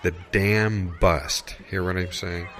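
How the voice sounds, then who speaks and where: A man playing an earnest, rambling school official in improvised comedy, with a halting, folksy way of talking. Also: low-pitched, fairly even intonation, a bit bright, a little hiss or hum, heavily acted. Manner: halting, folksy, self-correcting. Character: male school official running a mascot meeting, earnest, rambling.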